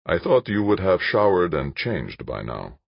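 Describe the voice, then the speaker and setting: A smug male villain drawls slowly and condescendingly, calm and menacing, as a male narrator puts him on. The low, husky voice sits in slightly muffled audio. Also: dry recording, exaggerated voice.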